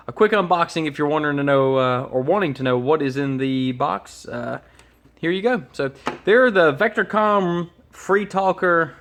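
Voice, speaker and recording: A man's voice: fairly high pitch, a bit bright, slight background noise. A man giving casual, unscripted unboxing commentary as host, hesitant and thinking aloud as he goes. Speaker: host of an electronics unboxing channel. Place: indoors at a workbench.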